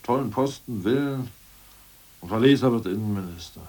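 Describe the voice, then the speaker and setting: A man's voice: on the low side, normal speed, recorded from a distance, lightly acted. A man playing a scheming ousted party boss. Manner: boastful, plotting, swings from muttering to loud outbursts. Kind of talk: 1980s radio play acting.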